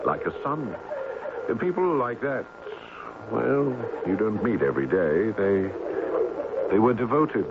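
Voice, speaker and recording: An actor playing a wistful, evasive young English gentleman in a radio drama, hesitant and guarded in his speech. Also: on the low side, big pitch swings, measured pace, long pauses. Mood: wistful, fond. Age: young adult. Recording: tinny, noisy old radio broadcast.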